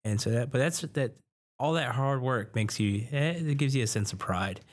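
A man answering interview questions about ship maintenance, speaking haltingly with frequent restarts. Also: mid-range voice, lively intonation.

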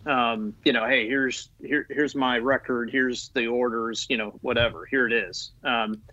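A man's voice: medium pitch, steady tone, a little slow, bright, forceful tone, no room sound, highs cut off. A man talking as an Army guest on a podcast, eager and loose, with many fillers and restarts. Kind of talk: conversational podcast interview.

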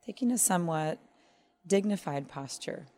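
A woman leading a guided body scan meditation in measured phrases with long pauses. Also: fairly low pitch, lively intonation, mellow. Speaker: meditation teacher.

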